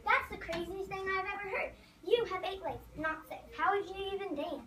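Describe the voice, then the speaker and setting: A woman's voice: very high voice, brisk, pushed and bright. A woman playing a vain, bossy young ladybug stepsister in a readers theater show, haughty and scornful. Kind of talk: readers theater stage performance. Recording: noisy, echoey hall from far off.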